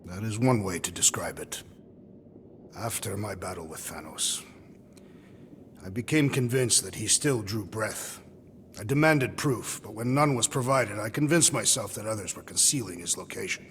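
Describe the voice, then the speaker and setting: An actor playing a solemn, proud alien warrior in a video game cutscene, speaking formally and gravely. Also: male voice, lowish voice, moderate pace, fairly bright tone, long reverb tail, a little hiss or hum, close to an everyday voice. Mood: grim. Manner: formal, grave, literal-minded diction.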